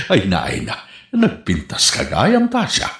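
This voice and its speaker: A man playing an excitable village uncle in an Ilocano radio comedy drama, with an Ilocano accent and theatrical delivery. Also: mid-range voice, gravelly, heavily acted. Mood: smitten, giddy. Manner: theatrical, drawn-out, swooping. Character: lovesick, excitable middle-aged village uncle. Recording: roomy, reflective sound.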